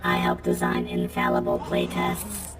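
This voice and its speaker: An extremely deep robot voice, stilted and processed, helpful but faintly menacing. It swings in pitch and sits far from the mic in an audio drama, under music and crowd din. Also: huge pitch swings, full character voice. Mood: robotic, helpful. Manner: slow, stilted machine delivery. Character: helpful yet menacing little prototype robot.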